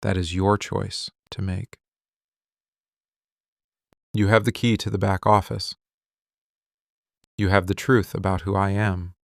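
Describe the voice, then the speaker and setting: A man playing a possessive, tender mafia boss in a boyfriend ASMR roleplay, speaking soothingly and deliberately in short, hushed phrases. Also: low voice, level tone, creaky, no echo, studio quality, exaggerated voice. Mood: brooding, intense. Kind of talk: boyfriend ASMR roleplay, slow intimate monologue.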